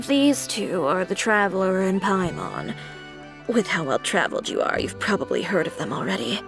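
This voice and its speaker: A woman's voice: medium pitch, animated, moderate pace, music in the background, lightly acted. A woman playing a self-assured young fashion designer in a video game, composed, dry and blunt. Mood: unimpressed. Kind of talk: video game character acting.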